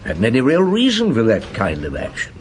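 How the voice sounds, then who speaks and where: An actor playing a shrewd, measured police chief inspector in a radio drama, recounting his case and questioning people. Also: medium pitch, wildly swooping pitch. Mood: reflective. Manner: measured, probing, hesitant hums and questions. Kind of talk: radio drama acting, narrating and interrogating.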